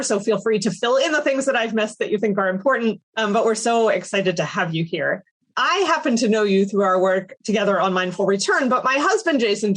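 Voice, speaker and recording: A woman co-hosts a podcast with an upbeat, chatty manner. She has a cold and speaks while getting over it. Her pitch sits in a typical middle range. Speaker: podcast co-host. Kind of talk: casual podcast hosting.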